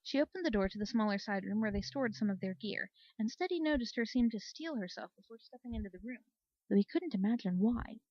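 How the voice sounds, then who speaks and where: A woman narrating a story in an expressive read-aloud style. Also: a little high, fast, no room sound, highs cut off. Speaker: story reader. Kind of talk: expressive audiobook-style narration of fan fiction.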